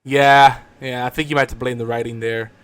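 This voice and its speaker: A man's voice: mid-range voice, level tone, fairly quick, clear, resonant tone. A man co-hosting a casual talk show, bantering about films in an easygoing, agreeable, conversational way. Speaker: co-host of an audio drama talk show.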